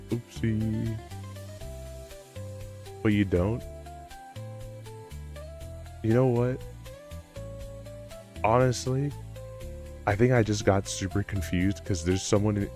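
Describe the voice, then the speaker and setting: A man with a low voice chatting casually on a live stream, rambling and self-deprecating. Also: darkish tone. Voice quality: low-pitched voice. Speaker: livestreamer. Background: background music.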